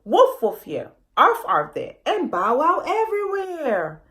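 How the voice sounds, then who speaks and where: A woman voicing storybook animal families who call out in drawn-out, singsong dog barks. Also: high voice, wildly swooping pitch, a bit bright, voice pushed up, heavily acted. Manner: singsong, drawn-out barking words.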